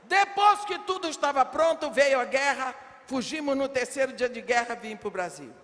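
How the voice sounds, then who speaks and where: An older woman preaching a sermon to a women's congress, emphatic, with rhetorical questions and bursts of shouting. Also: high-pitched, animated, moderate pace. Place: large church hall. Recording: long reverb of a big hall.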